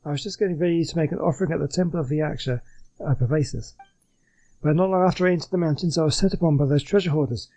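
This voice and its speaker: A man's voice: on the high side, quick pace, warm tone, natural voice, low-quality recording. A man voicing an earnest, polite reformed swindler from a video game, reading the dialogue in a wordy, scholarly way.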